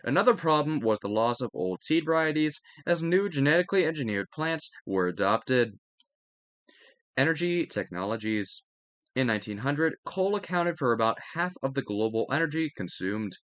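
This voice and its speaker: A man's voice: medium pitch, lively intonation, moderate pace, fairly bright tone, no room sound. A man reading a textbook aloud as a lesson, now and then stumbling and repeating a phrase to correct himself. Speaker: reader narrating a history textbook aloud. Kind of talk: educational read-aloud with brief asides.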